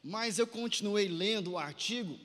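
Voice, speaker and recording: Male Brazilian preacher, bright and forceful, exhorting a youth conference in a reverberant hall. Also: sits high, normal speed. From Santarém, Pará, northern Brazil. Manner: exhorting, asks listeners to repeat phrases and respond. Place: large indoor conference hall, amplified. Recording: echoey large hall with long reverb.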